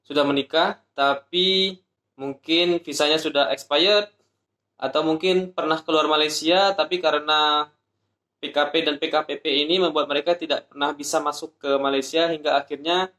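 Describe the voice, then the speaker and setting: Indonesian man explaining a procedure, slightly high. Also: a bit bright, roomy sound. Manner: explains step by step, spells out addresses slowly. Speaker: vlogger. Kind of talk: informational vlog explaining a procedure.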